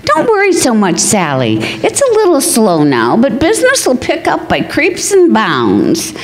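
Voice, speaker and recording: Actress as scatterbrained, high-voiced comedy wife. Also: female voice, wildly swooping pitch, unhurried, heavily acted, rough recording. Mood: breezy, reassuring. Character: scatterbrained, optimistic wife mangling proverbs, running card business. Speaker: actor in a radio play. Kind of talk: old-time radio comedy acting.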